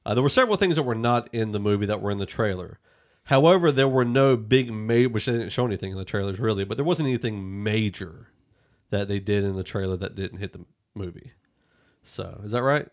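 Lower-voiced man hosting a casual podcast. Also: a little fast. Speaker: podcast host. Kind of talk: casual group chat, film review.

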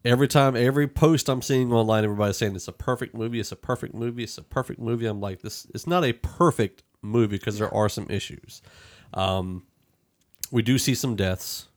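A man's voice: mid-range voice, a little fast. A man hosting a podcast in a casual, rambling, informal group chat about a film. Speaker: podcast host.

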